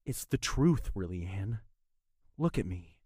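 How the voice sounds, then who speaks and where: A very low, husky young man's voice, acted by a woman. He is an earnest, wounded former lover in an audio drama, urgent and pleading. The delivery swings widely in pitch with intense feeling. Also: expressive, a little slow, mellow, no room sound, clean studio sound, full character voice. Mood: urgent, insistent.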